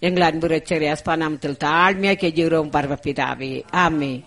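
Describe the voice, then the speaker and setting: High-pitched man pleading in fervent prayer. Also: steady tone, moderate pace, gravelly, fairly bright tone. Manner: pleading, repeats phrases urgently. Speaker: prayer leader.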